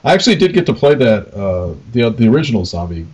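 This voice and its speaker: A man's voice: mid-range voice, very animated, fast, a little mellow, slightly rough, lo-fi sound. A man hosting a casual podcast interview over an internet call, rambling tentatively and repeating himself as he talks.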